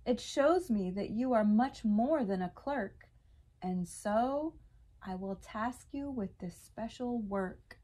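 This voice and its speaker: A woman plays a jolly, kindly old Father Christmas in a storybook. The delivery is mellow and slow, approving and a little stately. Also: fairly high pitch, animated.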